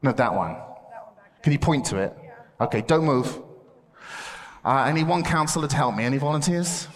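A man's voice: medium pitch, moderate pace. A man presenting a playful object lesson to children in church, laughing often and calling out to the room. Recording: echoey hall.

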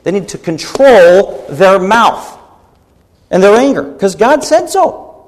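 A man's voice: sits high, sing-song, slow pace, big-room sound. A man preaching a sermon as pastor, emphatic and rebuking, driving points home by repeating them.

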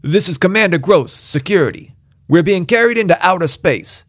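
An alarmed military security commander performed by a male reader, voice raised well above a normal speaking pitch. He is blunt and forceful, with clipped official phrasing, as in a dry audiobook space adventure. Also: lively intonation, normal speed, dry recording. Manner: emphatic, clipped official delivery.

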